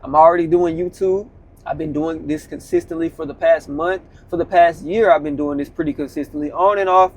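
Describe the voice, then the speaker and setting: A man in his twenties, a truck driver, giving a loose, slangy motivational talk from inside his truck cab. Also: on the high side. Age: 20s. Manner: loose, conversational, slangy, repeats himself.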